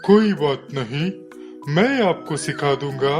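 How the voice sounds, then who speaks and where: Patient storybook schoolteacher male actor, slow delivery, reassuring, gentle, music underneath. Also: fairly high pitch, expressive. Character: kind, patient, encouraging male teacher in children's story.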